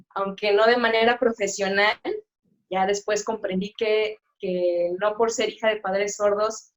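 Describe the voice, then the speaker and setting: Woman on a video call, middle aged, lots of ums and restarts, middle pitch. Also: low-quality recording. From Mexico. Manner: hesitant, many fillers and restarts. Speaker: sign language interpreter, guest. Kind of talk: live-stream interview, casual chat.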